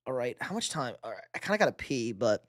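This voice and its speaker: A man's voice: mid-range voice, big pitch swings, fast, fairly bright tone, no echo, studio quality. A young man hosting a live sports stream, reading chat questions and bantering loosely with casual profanity. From New York. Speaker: livestream host reading chat.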